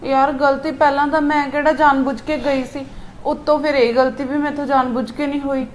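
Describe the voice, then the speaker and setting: A woman's voice: sits high, flat delivery, brisk, slight background noise, close to an everyday voice. A woman acting as a worried young woman who pleads and fears she won't be forgiven. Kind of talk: family drama acting.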